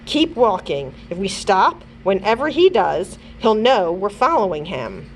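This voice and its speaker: A woman's voice: mid-range voice, big pitch swings, measured pace, slight background noise, poor audio. A woman voicing a determined young girl detective in a storybook, urgent and sure of herself.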